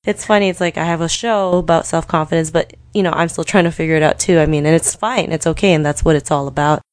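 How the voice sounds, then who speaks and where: An upbeat woman hosts an interview podcast, encouraging her guest and saying "you know" often. Her voice sits a little low, and the sound is dry and close. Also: fairly even intonation, fast talker, dry recording. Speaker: podcast host.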